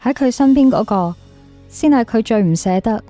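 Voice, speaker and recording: Radio drama lead, for an actress: lovelorn young Hong Kong Cantonese woman, high voice, insecure, plaintive confessions and questions. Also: lively intonation, normal speed, mellow, close to an everyday voice. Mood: heartbroken. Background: music under the voice.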